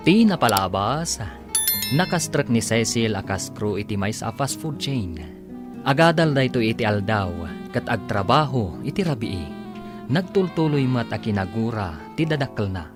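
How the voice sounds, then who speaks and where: Male serial narrator: theatrical announcer read, very animated, over prominent guitar music. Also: mid-range voice, normal speed. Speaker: radio drama narrator and announcer. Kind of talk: dramatic scripted narration.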